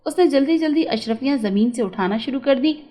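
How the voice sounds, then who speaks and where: Woman telling a scripted moral story, really high voice, storyteller narration. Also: expressive, brisk, mellow.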